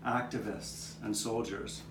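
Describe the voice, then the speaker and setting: Puppet show role: man as reflective old male street cat telling his past, measured, recorded from afar over clear noise. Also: lowish voice, fairly even intonation. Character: old male street cat, reminiscing storyteller with nine lives. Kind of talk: scripted puppet show narration. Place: outdoor courtyard. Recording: distant, echoey live taping with background noise.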